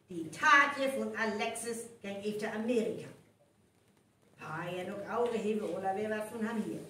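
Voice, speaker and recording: A woman acting in a North Frisian live radio play as a detective methodically explaining a murder case. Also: mid-range voice. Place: hall with a live audience. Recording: echoey live hall recording, distant, faint noise.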